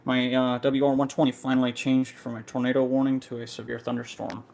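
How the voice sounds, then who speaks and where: A man's voice: mid-range voice, flat delivery, fairly quick, creaky, darkish tone. A man hosting an amateur livestream in a casual, informal way, thinking aloud as he goes. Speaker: amateur storm-watch livestream host. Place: a room at home.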